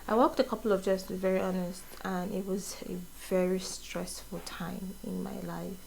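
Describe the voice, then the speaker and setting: A woman's voice: medium pitch, level tone, normal speed, creaky, darkish tone, slight background noise, rough recording. A young adult woman with a Nigerian accent, whose first language is not English, chatting casually in a vlog, laughing often and wandering into asides. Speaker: vlogger. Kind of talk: casual get-to-know-me vlog.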